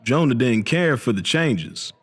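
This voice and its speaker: Older male newsroom editor, played by an actor, diplomatic and weary, mid-range voice, measured delivery. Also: medium pitch, lively intonation, fairly bright tone, slightly rough. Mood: weary, careful. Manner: measured, apologetic, formal. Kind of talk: comic dub acting.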